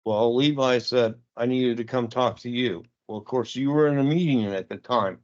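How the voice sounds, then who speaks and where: A man's voice: mid-range voice, normal speed, no echo. Blunt, aggrieved older man. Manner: aggrieved, blunt, rambling complaint. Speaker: resident speaking at public comment. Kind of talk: public comment at a council meeting. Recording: dull lo-fi council chamber mic.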